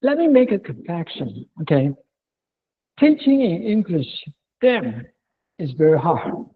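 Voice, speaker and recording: A lecturer from Taiwan whose first language is Mandarin gives a classroom lecture in English. They pause and restart often and close many points with a checking "okay?". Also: medium pitch, big pitch swings, slightly rough, narrow, tinny audio. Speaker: university lecturer.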